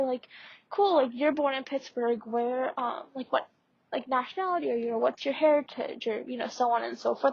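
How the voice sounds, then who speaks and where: A woman's voice: on the high side, breathy edge, dry recording. A young woman from India, a student mentor chatting casually in a podcast discussion with lots of fillers. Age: young adult. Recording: dull lo-fi audio.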